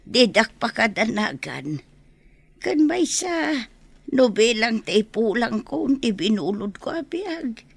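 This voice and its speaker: Woman playing a frail, creaky, high-voiced old mother. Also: big pitch swings, takes it slowly, no echo, exaggerated voice. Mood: weary, tender. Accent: Ilocano. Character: frail, ailing old mother, gentle and forgiving. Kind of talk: Ilocano radio drama acting.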